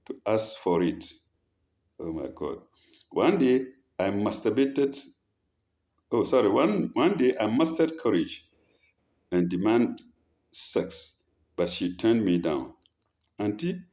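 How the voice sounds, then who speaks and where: A man's voice: lowish voice, dark tone. A man who hosts an advice show, reading listener letters aloud and commenting on them, often stopping to reread a phrase or correct himself.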